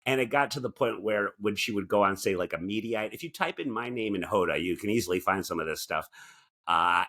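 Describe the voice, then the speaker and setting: Husky guy podcast cohost, very up and down delivery, wry self-deprecating jokes, casual. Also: fairly low pitch, bright, forceful tone. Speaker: podcast co-host. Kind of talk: casual comedic chat.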